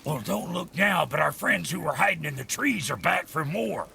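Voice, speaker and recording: An actor playing a crude, boastful male blacksmith in a video game, with a gravelly voice, rough grammar and loud exclamations. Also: on the high side, lively intonation, normal speed, slight background noise, full character voice.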